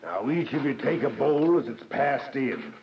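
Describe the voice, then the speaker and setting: A man's voice: mid-range voice, very animated, unhurried, distant mic. A man playing a taunting, commanding prison guard in a radio drama. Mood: mocking, menacing. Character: menacing, mocking male prison guard. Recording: dull, noisy radio drama recording, off mic.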